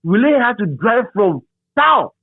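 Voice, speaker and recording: A middle-aged Ghanaian man reminiscing as an interview guest in a halting, repetitive, animated storytelling manner. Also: extremely high pitch, dry recording. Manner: halting, repeats words and phrases, animated storyteller. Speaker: interview guest, radio DJ and presenter. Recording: thin phone-like sound.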